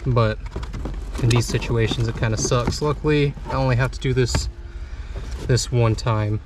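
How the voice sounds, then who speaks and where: A young man talks his viewers through a truck radio install in a relaxed, chatty way, often pausing on "uh". His voice sits at an ordinary middle pitch and is picked up by a camera mic that drifts nearer and farther. Faint background noise sits under it. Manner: relaxed and chatty, many "uh" pauses. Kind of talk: casual how-to vlog narration.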